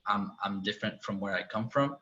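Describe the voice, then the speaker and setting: A man from Spain with a Spanish accent speaks on a video call that sounds dull and lo-fi. His voice sits low but carries a bright, forceful edge. He talks in halting bursts, often restarting and filling gaps with "you know.". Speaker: panelist. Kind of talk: reflective town hall discussion.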